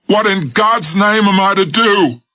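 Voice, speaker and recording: A man's voice: a little slow, bright, forceful tone. A man doing a wailing, terrified man. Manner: high wailing plea. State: crying. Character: terrified man, quavering, near hysterical.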